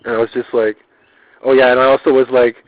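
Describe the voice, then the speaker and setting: A man's voice: medium pitch, little pitch movement, fast talker, no room sound, narrow, tinny audio. A man keeping a casual audio diary, rambling in a loose, slangy way with swearing and repetition. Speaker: personal audio diarist.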